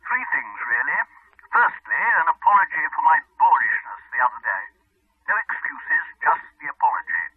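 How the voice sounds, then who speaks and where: An actor playing a courteous, wry English country doctor, middle-aged, with a hesitant, chatty manner in a 1980s radio drama. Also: male voice, mid-range voice, big pitch swings, moderate pace, husky. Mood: apologetic, wry. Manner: hesitant, chatty, clipped phrases. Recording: slightly dull old radio recording.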